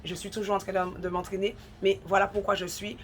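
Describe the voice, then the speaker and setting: A woman's voice: mid-range voice, flat delivery, fairly quick, slight background noise. A woman with a head cold and a runny nose gives an earnest devotional talk, urging listeners on and repeating points for emphasis.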